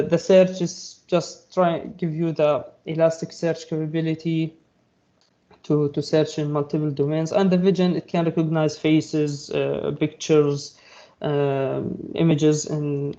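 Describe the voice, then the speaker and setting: A fairly high-voiced man whose first language is Arabic walks through a technical topic in a halting, filler-heavy way. His tone is mellow and soft. The sound is dull and lo-fi. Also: little pitch movement. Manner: halting, many fillers and restarts. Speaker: presenter. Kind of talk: technical talk.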